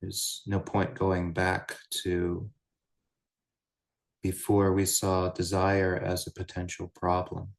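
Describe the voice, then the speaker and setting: A man guiding a meditation as a teacher, speaking in measured, deliberate short phrases with pauses between them. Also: deep voice, completely flat delivery, vocal fry. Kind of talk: guided meditation, unscripted Buddhist teaching.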